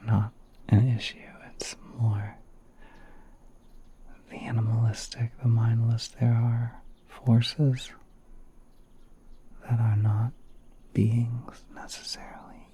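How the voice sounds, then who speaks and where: Slow, whispery, gentle spider prince played by a man. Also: mid-range voice, a little hiss or hum. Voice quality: soft, breathy, half-whispered. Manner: hesitant, unhurried, gently courteous. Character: gentle, courteous spider prince soothing a guest in his garden. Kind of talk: ASMR roleplay, soft intimate monologue.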